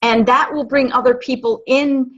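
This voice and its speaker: A woman's voice: high-pitched, steady tone, moderate pace, a bit bright. A woman hosting a panel on podcasting, chatty and repeating her points. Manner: chatty, repeats questions for emphasis. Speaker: podcast host and panel moderator. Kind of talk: casual panel discussion. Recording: lo-fi dull audio.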